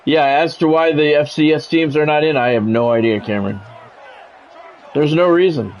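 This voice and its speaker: A man's voice: fairly high pitch, moderate pace. A man livestreaming a game, mixing casual, opinionated chat with sports play-by-play commentary. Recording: dull lo-fi audio, music behind. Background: music.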